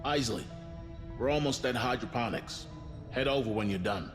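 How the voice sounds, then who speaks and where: An actor playing a middle-aged spaceship commander in a game, measured, formal and encouraging with his crew. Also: medium pitch, natural voice. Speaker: mission commander. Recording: long hall reverb, faint music behind.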